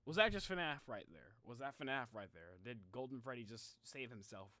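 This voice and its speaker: A man's voice: medium pitch, sing-song, normal speed, sharp tone, no room sound. A man doing casual live game commentary as a streamer, talking in slangy, reactive exclamations.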